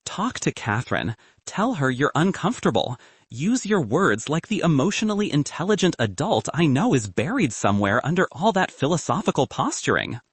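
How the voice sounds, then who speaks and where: A man's voice: a little high, big pitch swings, normal speed, slightly rough, dry recording. A man voicing a blunt, teasing college roommate in a narrated story, sarcastic and exasperated.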